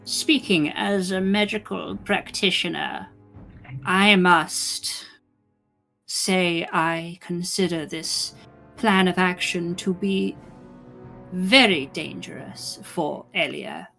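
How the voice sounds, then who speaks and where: A woman playing a cautious, solemn female magic practitioner in a tabletop game, speaking formally and deliberately. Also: on the low side, steady tone, vocal fry, bright, forceful tone, faint music behind, heavily acted. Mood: grave, cautioning. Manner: formal, deliberate, measured phrasing. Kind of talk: in-character tabletop roleplay.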